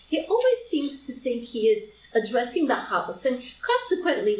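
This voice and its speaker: A woman playing an elderly, genteel society lady who rambles confidentially and is easily scandalised, in a live reading of a period comedy. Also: a little high. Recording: roomy, distant mic, faint noise.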